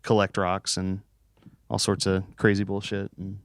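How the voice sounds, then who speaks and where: A man's voice: sits low, monotone, a little slow, dry recording, clean studio sound. A young man in a band interview, joking around, swearing freely and laughing a lot. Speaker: band member. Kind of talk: casual interview chat.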